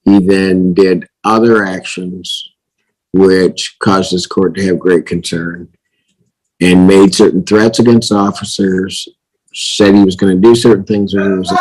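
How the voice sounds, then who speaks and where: A man with a fairly deep voice presides as a judge. His delivery is formal and authoritative, laying out rulings firmly in a courtroom. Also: noisy background. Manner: firm, authoritative. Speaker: judge presiding over a hearing.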